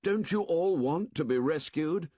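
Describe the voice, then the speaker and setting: A man's voice: fairly high pitch, animated, a little slow, mellow, slightly rough, no echo, highs cut off, pitched above the performer's own voice. A man voicing an earnest boy leader who speaks firmly and reasonably. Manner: firm, earnest.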